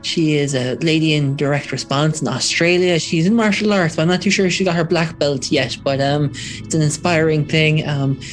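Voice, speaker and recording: A man's voice: on the high side, moderate pace, soft background music. Male podcast host, casual interviewer.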